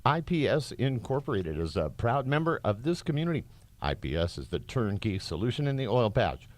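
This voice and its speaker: A man's voice: mid-range voice, sing-song, fairly quick. A man reading a scripted radio advert as an announcer.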